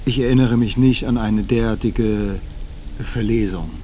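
Actor as guarded scholarly witness, noisy ambience. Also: male voice, on the low side, steady tone, warm tone, lightly acted. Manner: measured, formal, evasive. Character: guarded, careful 56-year-old theology professor testifying as witness. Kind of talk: radio drama testimony.

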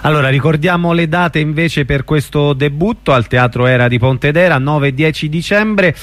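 A male radio host conducting a casual interview, putting his questions in an informal, halting way. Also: fairly high pitch, fairly bright tone, dry recording, soft background music.

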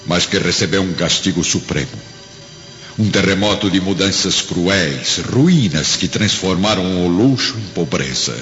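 A Brazilian man with a very low voice delivers solemn, dramatic narration for a radio drama. His words come slowly, with weighty pauses, and a long hall reverb trails behind them. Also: fairly bright tone, dull audio. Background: prominent background music.

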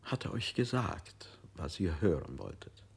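A gravelly, low interrogator, voice swings wildly up and down. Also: male voice, sing-song, unhurried, full character voice. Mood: probing. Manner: insistent, probing questions. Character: male interrogator, coaxing yet probing. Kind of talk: modernist radio play acting.

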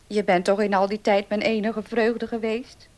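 A woman's voice: medium pitch, little pitch movement, normal speed, darkish tone, natural voice. An actress playing a devoted, anxious old female servant in a Dutch radio play, motherly and urging. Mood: doting, urgent.

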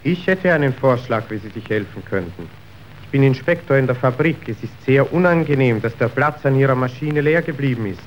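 An actor playing a slick, manipulative factory official in a radio drama, speaking in a measured, officious, persuasive way. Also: male voice, mid-range voice, expressive, lightly acted. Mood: smoothly persuasive. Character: slick, calculating male factory boss's agent, smoothly manipulative. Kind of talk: stage-like German radio drama acting. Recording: dull, noisy old radio recording.

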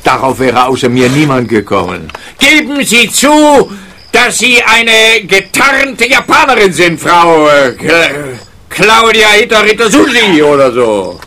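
An actor playing a pompous, dim, self-satisfied policeman in a comic radio play, blustering and excitable. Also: high-pitched, a little slow, slight background noise, full character voice. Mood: smugly triumphant.

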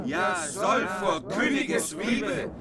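A man's voice: high-pitched, expressive, extremely slow, a loud crowd. A man declaiming old medieval verse in a chanting style for a radio play. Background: loud music.

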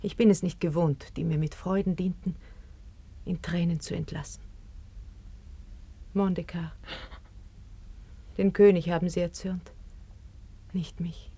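A breathy, sorrowful noble queen. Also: fairly low pitch, steady tone, unhurried, pauses often. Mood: wounded dignity. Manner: declamatory, hushed and breathy, solemn. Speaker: radio drama actress. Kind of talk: 1950s German stage-style radio acting of classical verse.

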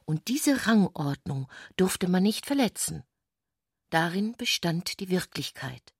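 A woman's voice: fairly low pitch, very animated, takes it slowly, breathy edge, no room sound, studio quality. A woman reading literary prose as a scripted narrator, precise and composed.

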